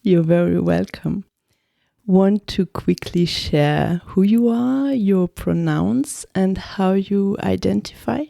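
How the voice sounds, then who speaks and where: A woman hosting an interview podcast, speaking in a measured, articulate way as she gives scripted introductions and asks questions. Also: mid-range voice, gravelly, dark tone, no room sound.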